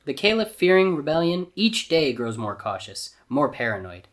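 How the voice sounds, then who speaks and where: A man's voice: a little high, sing-song, moderate pace, pitched above the performer's own voice. A man acts out a villainous Grand Vizier from an adventure storybook. He is pompous and menacing, with cold deliberation and widely swinging theatrical delivery. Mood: smug scheming.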